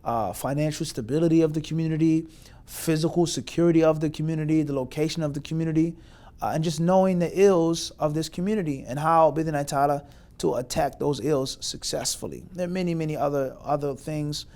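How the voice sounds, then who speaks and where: A man from the United States with a somewhat high voice gives an emphatic lecture of advice as a mufti. He builds his points in long rhetorical lists and mixes in Arabic religious terms. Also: a little fast, a little mellow. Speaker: mufti giving advice to graduates.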